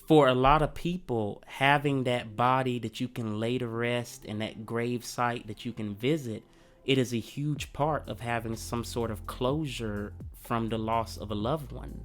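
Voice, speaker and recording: A man's voice: medium pitch, measured pace. Guy with a bit of a cold musing on history, earnest and chatty, faint music behind. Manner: earnest, conversational, with fillers. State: coming down with a cold. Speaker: history channel host. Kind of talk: reflective history commentary.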